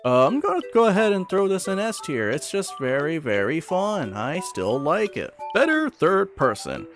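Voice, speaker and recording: Guy chatting casually, higher voice, really animated pitch, music playing behind him. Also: normal speed. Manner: chatty, self-deprecating, informal. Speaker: video creator ranking game mods. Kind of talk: casual commentary over a tier list. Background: background music.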